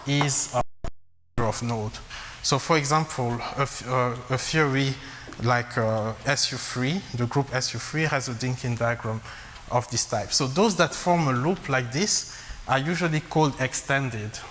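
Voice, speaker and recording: A man who grew up in Congo giving a math lecture, halting with frequent fillers and restarts. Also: medium pitch, a bit bright. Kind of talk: academic lecture at a blackboard. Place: lecture hall. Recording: long reverb, faint hiss.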